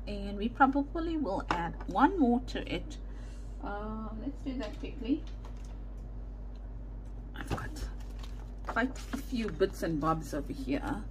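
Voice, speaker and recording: A South African Indian woman talks her way through a crafting session at her desk, taking her time, trailing off and correcting herself. Her voice sits in the middle range. A faint hum of room ambience stays underneath. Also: medium pitch. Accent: South African Indian English. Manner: rambling, self-correcting, muttering asides while working. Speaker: craft video host. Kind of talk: casual chatty crafting commentary. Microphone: overhead camera setup, hands only in frame.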